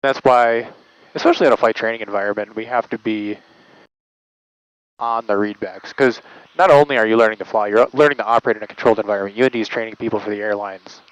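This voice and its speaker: Fast-talking, low-voiced man explaining controller procedure. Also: fairly even intonation, no echo, rough recording. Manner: uses aviation jargon. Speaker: air traffic controller. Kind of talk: explanatory interview answers.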